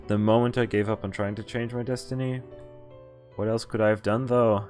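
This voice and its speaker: A man streaming a visual novel, reading the text aloud and breaking off haltingly into muttered casual commentary. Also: lowish voice, steady tone, darkish tone, soft background music. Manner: halting, often pausing to mutter asides. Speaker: game streamer.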